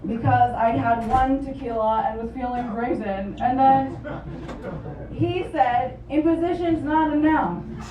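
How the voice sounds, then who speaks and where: A woman whose first language is Japanese, doing a stand-up comedy set on a club stage with wry, deadpan storytelling, caught on a phone voice memo from the audience. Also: high voice, measured pace, background noise. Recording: lo-fi phone recording from far off in an echoey club. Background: audience laughter.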